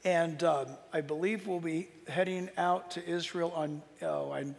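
Guy preaching informally in a big echoey hall, kinda high voice, earnest, pauses for effect. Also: fairly even intonation, vocal fry. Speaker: Bible teacher and tour leader. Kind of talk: informal preaching talk to an audience. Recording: long hall reverb.

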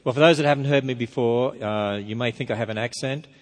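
An Australian man delivers a sermon to a church crowd through a handheld mic. He talks in a folksy, joking way at an ordinary pitch. The sound carries a long hall reverb. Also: fast talker. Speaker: guest speaker. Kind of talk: sermon to a congregation. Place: church sanctuary. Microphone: wireless handheld microphone.

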